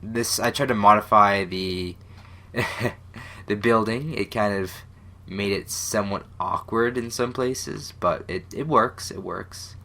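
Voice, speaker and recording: Young male gaming host, congested and stuffy, bright tone, low-ish voice, loose rambling commentary. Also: slow, creaky. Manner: rambling, self-deprecating, many fillers and restarts. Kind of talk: casual gameplay commentary.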